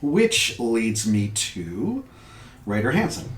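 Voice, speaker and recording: A man with a mid-pitched voice runs a tabletop game from an echoey room with faint noise. His narration is improvised, casual and halting, like conversation. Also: huge pitch swings. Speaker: game master.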